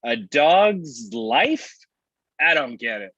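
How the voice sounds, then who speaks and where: Male comedy table read: actor as needling wisecracker, sharp animated voice, muffled lo-fi audio. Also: mid-range voice, drawn-out words, full character voice. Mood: teasing.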